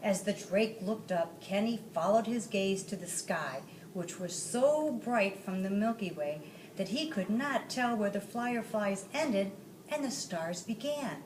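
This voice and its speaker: Lady reading a kids book out loud, echoey, a little way from the mic. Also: medium pitch, animated, measured pace. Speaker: story reader. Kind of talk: children's book read-aloud. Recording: roomy, distant mic, faint noise.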